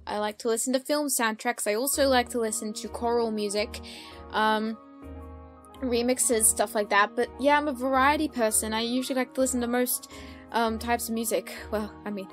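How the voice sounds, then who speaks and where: A woman in a casual solo question-and-answer chat, speaking expressively with playful exasperation as a YouTuber. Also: medium pitch. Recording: background music behind the voice. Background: music.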